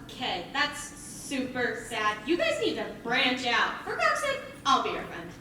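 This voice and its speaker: A woman's voice: fairly high pitch, huge pitch swings, unhurried, bright, forceful tone, heavily acted. Echoey stage recording, actress as sassy young warrior girl, breezy slang, swoops all over. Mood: exasperated, teasing. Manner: sarcastic, breezy modern slang. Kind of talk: live stage comedy acting. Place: theatre stage, live performance. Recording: very echoey theatre, distant mic, clear noise.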